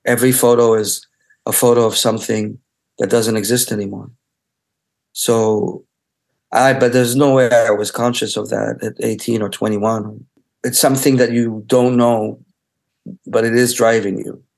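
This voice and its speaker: A middle-aged man born in Israel whose first language is Hebrew, speaking as a photographer in an interview. He talks reflectively and haltingly, often restarting and searching for words. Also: on the low side, little pitch movement, vocal fry.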